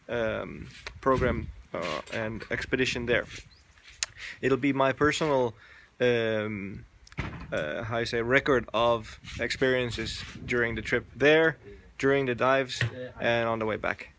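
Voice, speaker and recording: A man in his forties films himself outdoors on a phone held at arm's length. He talks in a relaxed, halting way, with many fillers, and stops to search for words. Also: medium pitch, a little hiss or hum. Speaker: dive instructor vlogger. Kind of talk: casual selfie vlog. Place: outdoors, covered shelter and lawn. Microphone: phone camera at arm's length.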